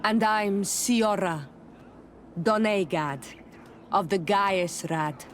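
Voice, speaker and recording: An actress playing a proud, forthright island woman in a video game, speaking in a deliberate, direct way. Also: medium pitch, slight background noise. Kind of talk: video game dialogue acting.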